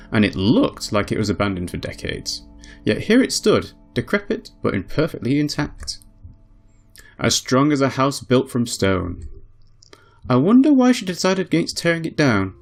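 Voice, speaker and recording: A man of medium pitch narrates a visual novel for a let's play. His delivery is expressive and swings widely in pitch, moving between dramatic reading and casual asides. Faint music plays behind him. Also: normal speed.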